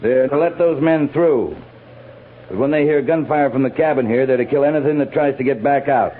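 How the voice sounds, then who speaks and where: An actor playing a terse, commanding US cavalry captain in a 1950s radio western, speaking in clipped, authoritative phrases. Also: male voice, medium pitch, brisk, close to an everyday voice. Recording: muffled, hissy old-time radio recording.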